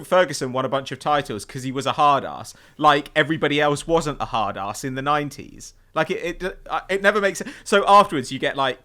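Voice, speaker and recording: A man's voice: medium pitch, sharp tone. A man hosting a casual football podcast discussion in a wry, sarcastic way. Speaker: podcast host.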